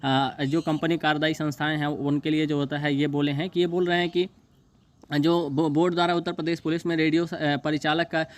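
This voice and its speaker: A man talks through an informal explainer in a slightly high voice. His delivery is very even and monotone. He goes haltingly, repeating phrases and stumbling as he reads out technical terms. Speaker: exam news video host. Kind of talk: informal explainer, reading and paraphrasing a notice.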